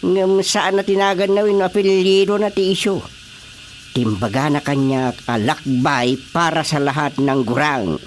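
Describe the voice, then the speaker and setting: A man's voice: on the high side, measured pace, a little hiss or hum, natural voice. An actor with an Ilocano accent playing a chatty, teasing elderly village chairman in a radio drama comedy. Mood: teasing, jovial.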